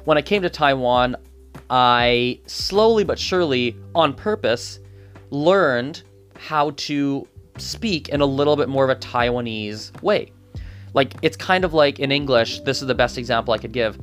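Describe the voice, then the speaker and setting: A man's voice: mid-range voice, a little slow, faint music behind. A man whose first language is Gujarati, talking through his thoughts in a casual, rambling monologue full of restarts and asides. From Canada. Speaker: polyglot vlogger.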